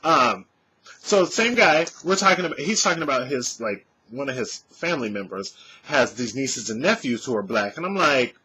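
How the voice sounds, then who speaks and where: Vlogger in his thirties, animated storyteller with a slightly husky voice, talking straight into a webcam at home. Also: mid-range voice, moderate pace, low-quality recording. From California. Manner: animated, gestures a lot, swears freely. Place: small room at home, indoors. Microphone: webcam at desk distance.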